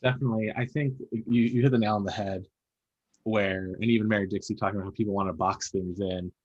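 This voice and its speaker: Low-voiced man hosting a casual panel. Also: steady tone, fast talker, darkish tone, highs cut off. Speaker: podcast host and panel moderator. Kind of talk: casual conversational interviewing.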